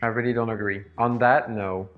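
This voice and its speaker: A man's voice: medium pitch, steady tone, normal speed, phone-quality sound. A man from northern France with a French accent, a native French speaker talking casually in a group discussion. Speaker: panel participant. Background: music.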